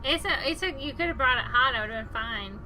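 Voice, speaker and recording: A woman's voice: high-pitched, expressive, fast, poor audio. A woman making playful, informal asides as she comments casually on a video game. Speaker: gaming streamer. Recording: loud game music behind commentary.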